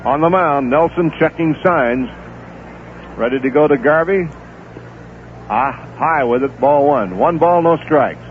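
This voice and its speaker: A man calling a baseball game over a tinny 1970s AM radio broadcast, his voice sitting a little high. He keeps the play-by-play steady and unhurried and fills the pauses with player stats, with a faint stadium hum behind him. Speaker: play-by-play announcer. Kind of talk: live baseball radio commentary.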